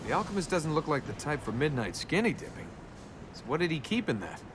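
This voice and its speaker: Cocky young game hero, flippant, sarcastic, animated pitch, noisy ambience behind. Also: male voice, fairly quick. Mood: teasing. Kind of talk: video game voice acting.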